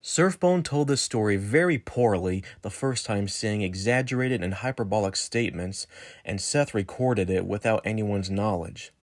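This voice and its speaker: Low synthetic male narrator voice, dry. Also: normal speed, no room sound. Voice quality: synthetic robot voice. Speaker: video narrator. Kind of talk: scripted narration, accusatory exposé.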